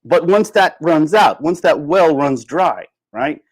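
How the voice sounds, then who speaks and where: A man's voice: a little high, animated, slow pace, dry recording. A man speaks in an unscripted, conversational livestream style. He rambles through his points and repeats phrases to drive them home. The sound is dry, with very little room in it. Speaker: livestream host. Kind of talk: casual unscripted livestream rant, reading and answering chat.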